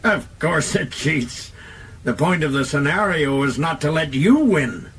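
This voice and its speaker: A man voicing a blunt, unyielding senior admiral in an audiobook, gruff and emphatic. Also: fairly high pitch, animated, measured pace, a bit bright, a little hiss or hum, pitched above the performer's own voice. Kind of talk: audiobook character dialogue.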